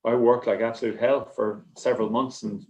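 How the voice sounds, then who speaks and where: A man, a writer on a panel, talking casually and reflectively in a halting, rambling, understated way. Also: medium pitch, steady tone, darkish tone. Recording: slightly dull, lo-fi connection.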